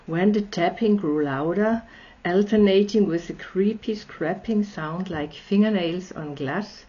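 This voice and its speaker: Slow-reading Austrian woman. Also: fairly low pitch, warm tone. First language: German. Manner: slow, friendly, chatty asides. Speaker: channel host. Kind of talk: reading a story aloud. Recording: slightly dull lo-fi audio.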